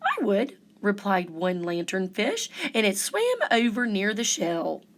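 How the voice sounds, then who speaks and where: Female picture-book narrator, mid-range voice, highly melodic and expressive delivery. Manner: deliberate, animated storytelling emphasis. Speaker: storyteller reading a picture book aloud. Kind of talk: expressive children's story narration.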